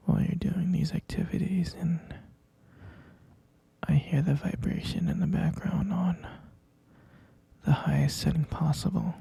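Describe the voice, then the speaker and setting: A hesitant, concerned middle-aged stepfather, acted by a man in an audio roleplay. He speaks slowly in a half-whisper, halting between phrases. The voice is very dark and full of gravelly creak. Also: a little high, no echo, exaggerated voice. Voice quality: breathy, gravelly. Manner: halting, hushed, drawn-out delivery. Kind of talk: scripted ASMR roleplay.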